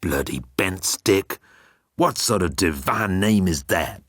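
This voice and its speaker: A man's voice: low-pitched, lively intonation, slow pace, no room sound, studio quality, exaggerated voice. A man voicing a pompous, self-pitying god who guards a bridge, easily offended and declamatory, in an audiobook. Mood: sulky, indignant.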